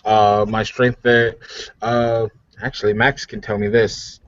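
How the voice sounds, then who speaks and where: A man's voice: fairly low pitch, level tone, a little slow, no room sound. A man playing a tabletop game, chatting casually and jokingly. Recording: muffled lo-fi voice recording.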